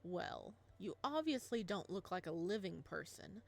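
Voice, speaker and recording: A woman voicing a young, protective single father in a visual novel, in a soft, slightly stern way. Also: lowish voice, very animated, measured pace, creaky, pitched below the performer's own voice, exaggerated voice. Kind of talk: reading a visual novel aloud.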